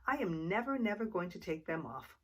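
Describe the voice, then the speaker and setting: A woman's voice: lowish voice, sing-song, a little fast, fairly bright tone, breathy edge, exaggerated voice. A woman voicing a determined, exuberant little storybook girl with an emphatic, chanting delivery. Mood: gleeful, stubborn.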